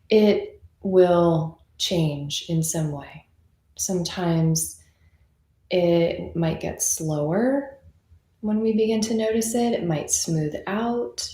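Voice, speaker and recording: Slow-speaking woman guiding meditation, roomy sound. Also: medium pitch, warm tone. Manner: unhurried, conversational, reassuring. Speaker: meditation teacher. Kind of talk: guided meditation, gentle unscripted instruction.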